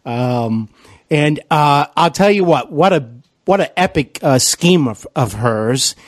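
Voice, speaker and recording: A man's voice: on the high side, animated, slow, dry recording, studio quality. A man hosting an interview, asking long rambling questions full of fillers and restarts. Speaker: podcast interviewer and actor.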